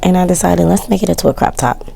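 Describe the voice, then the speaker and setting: A woman narrating her sewing project in a casual, chatty voice-over, her voice worn and cracking from yelling, with self-mocking, shrugging asides. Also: fairly low pitch, fast, vocal fry, slightly rough, faint music behind. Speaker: sewing vlogger.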